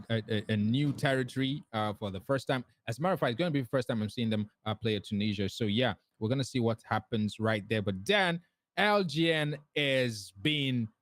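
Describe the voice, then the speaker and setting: Excitable male esports caster, dry sound. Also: mid-range voice, no echo. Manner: excitable, many fillers and restarts. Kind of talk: play-by-play commentary.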